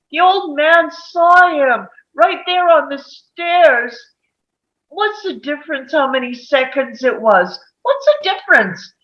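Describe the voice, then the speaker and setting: A woman playing a stubborn, furious male juror in a dramatic table read, ranting haltingly with anguish. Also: high voice, full character voice. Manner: ranting, halting and repetitive, swinging to anguished shouts. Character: stubborn, furious man on a jury, breaking down. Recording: muffled, roomy home recording.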